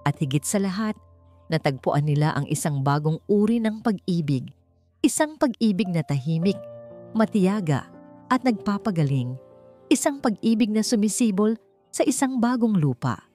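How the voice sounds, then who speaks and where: A woman narrating a scripted romantic drama, phrasing it deliberately with dramatic pauses. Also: mid-range voice, expressive, warm tone, soft background music. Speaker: story narrator.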